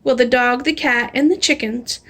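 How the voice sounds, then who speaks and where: A woman with a high voice reads a children's storybook aloud. Her delivery is animated and expressive, shaped for young listeners. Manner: animated, drawing out refrains slowly. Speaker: storybook reader. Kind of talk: expressive read-aloud of a children's picture book.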